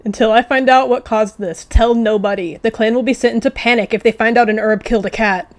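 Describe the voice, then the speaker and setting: A woman's voice: on the high side, steady tone, brisk, lightly acted. A woman voicing a vengeful medicine cat who feigns innocent, sweet concern in a game roleplay. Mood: feigned panic. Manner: falsely sweet, forced purr.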